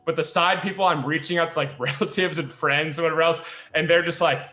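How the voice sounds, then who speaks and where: A man chatting casually among friends as a podcast host, telling animated stories, acting out quotes and swearing freely. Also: on the high side, fast. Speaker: podcast host and audio drama creator.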